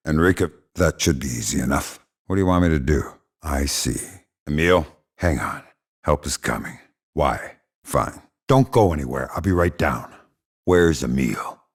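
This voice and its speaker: An actor playing a hardened middle-aged spy in a video game, gravelly, terse and deadpan, with dry sarcasm and menace. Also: male voice, very deep voice, a little slow, heavily acted. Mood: dry, businesslike. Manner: terse, deadpan, dryly threatening.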